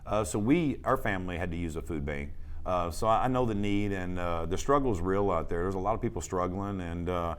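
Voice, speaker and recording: Halting middle-aged man from Missouri. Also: sits low, clear, resonant tone. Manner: halting, many fillers and restarts. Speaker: guest, charity council president. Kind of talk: casual interview chat.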